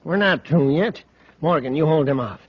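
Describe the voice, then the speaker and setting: High-voiced bossy space villain. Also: male voice, animated, moderate pace, breathy edge, no room sound. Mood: urgent, snappish. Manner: commanding, snappish. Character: bossy, impatient villain ringleader in space adventure. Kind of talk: 1950s radio drama acting. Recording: old muffled radio recording, heavy noise.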